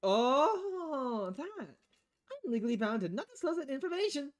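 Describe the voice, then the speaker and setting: A woman's voice: sits high, big pitch swings, unhurried, pitched above the performer's own voice. A woman voicing a playful, cagey female bar boss in a visual novel, evasive and mock-formal.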